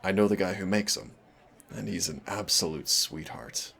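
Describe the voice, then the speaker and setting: A man playing a shy, gentle, awkward guy flirting at a cafe in an audio roleplay, hesitant, nervous and chatty. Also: sits low, moderate pace, creaky, a faint crowd, full character voice. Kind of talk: soft-spoken audio roleplay.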